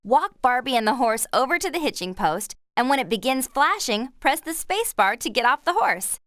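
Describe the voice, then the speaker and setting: A woman's voice: sits high, sing-song, normal speed, fairly bright tone, dry recording, studio quality. A woman playing an upbeat young ranch friend who gives friendly, instructive guidance in a video game. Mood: helpful, upbeat. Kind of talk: video game tutorial acting.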